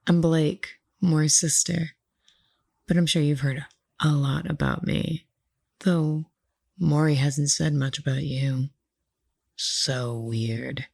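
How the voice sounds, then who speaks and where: An actress in an audio drama playing a cool, passive-aggressive sister with a pointed, sarcastic manner. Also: female voice, low-pitched, steady tone, takes it slowly, vocal fry, long pauses, a little mellow, no echo, clean studio sound, heavily acted. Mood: cold, snide.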